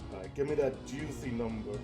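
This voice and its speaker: A man playing a tabletop game, chatting casually and thinking aloud in a playful, rambling way. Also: mid-range voice.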